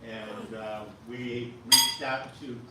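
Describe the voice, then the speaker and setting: A man's voice: mid-range voice, level tone, slow. Man with frequent uhs in an echoey hall. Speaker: board member presenting events. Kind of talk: casual program announcements. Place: hotel banquet room at a luncheon. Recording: echoey room, speaker far from mic, noisy.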